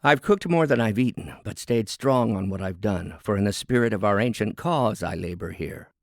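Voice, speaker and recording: A man's voice: on the low side, expressive, normal speed, no room sound, studio quality. A man voicing a proud, defiant working woman, a cook in a storybook fable, speechifying with indignant emphasis. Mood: proud, pleading. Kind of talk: dramatic storybook fable reading.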